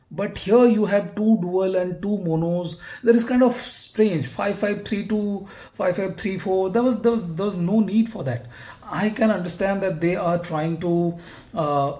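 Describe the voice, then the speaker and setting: A man giving an unscripted product review as an audio gear reviewer, halting and often repeating his words and phrases. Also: sits high, a little mellow.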